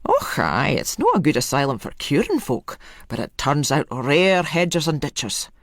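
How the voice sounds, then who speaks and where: An old Scottish countryman, acted by a woman storyteller, proud and a little cracked, with a gravelly voice that swoops around. He talks in folksy dialect with matter-of-fact pride, as in a dramatic audio story. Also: deep voice, very animated, moderate pace, dry recording, studio quality. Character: old rustic male hedger, proud, eccentric asylum leaver.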